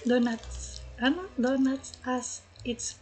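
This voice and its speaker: Filipino woman, game streamer, fairly high voice, very slow casual chat, faint music. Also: steady tone, a little mellow.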